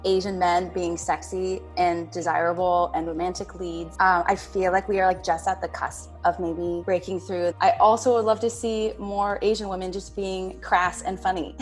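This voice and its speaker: A woman's voice: lowish voice, little pitch movement, normal speed, faint music behind. A woman talking casually as a guest on a panel, telling anecdotes in a conversational way and quoting dialogue as she goes. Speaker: talk-show guest, stage actress.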